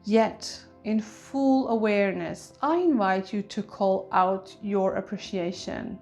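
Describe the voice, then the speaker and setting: A woman leading a guided meditation in an ASMR style, speaking gently and soothingly with deliberate phrasing. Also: medium pitch. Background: soft music with chimes.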